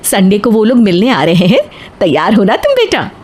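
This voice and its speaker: A woman's voice: medium pitch, very animated, moderate pace, darkish tone. A woman voicing a practical middle-aged mother with a coaxing manner in a moral story.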